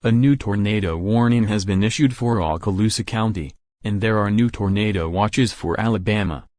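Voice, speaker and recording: A man's voice: on the low side, normal speed, darkish tone, no room sound, clean studio sound, lightly acted. A man playing an urgent, increasingly alarmed news broadcaster in an amateur disaster radio drama. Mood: grave, alarmed. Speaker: news anchor. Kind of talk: breaking-news radio announcing in a fan drama.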